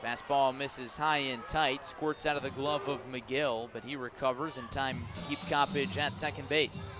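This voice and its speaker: A man doing live radio play-by-play of a baseball game, descriptive and unhurried between pitches and punchier on the action. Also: steady tone, music in the background, a crowd in the background. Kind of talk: live radio sports commentary.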